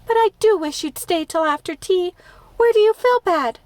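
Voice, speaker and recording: A woman's voice: sits high, animated, moderate pace, no room sound. Woman doing a gushing dramatic young girl. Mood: pleading. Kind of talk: audiobook character voice.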